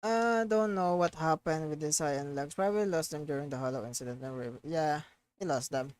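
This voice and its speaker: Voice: medium pitch, moderate pace, a little mellow, clean tone, no room sound. A streamer reacting casually to a video game on a live stream.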